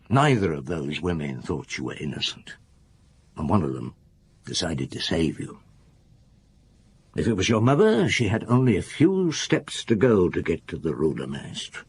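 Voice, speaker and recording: Husky, commanding police chief inspector. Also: male voice, sits low, exaggerated voice. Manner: probing, measured, commanding. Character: shrewd, relentless French police chief inspector. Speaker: actor in lead detective role. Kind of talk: radio drama interrogation.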